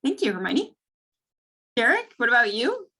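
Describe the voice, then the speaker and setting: A woman's voice: fairly high pitch, very animated, normal speed, sharp tone, husky. A woman moderating an online panel over a video call, chatty and friendly with frequent fillers.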